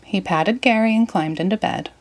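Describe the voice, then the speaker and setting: A woman reading a children's story aloud in an expressive, deliberate way. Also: medium pitch. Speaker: storybook reader. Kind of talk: children's read-aloud narration.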